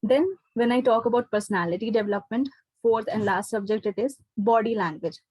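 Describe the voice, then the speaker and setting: A woman's voice: a little high, brisk, darkish tone, husky, no echo, low-quality recording. A woman with an Indian accent, a teacher explaining things to a parent, often checking in with "okay" and "right?". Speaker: teacher giving feedback to a parent. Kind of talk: explanatory talk on a video call. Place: indoors, online class video call.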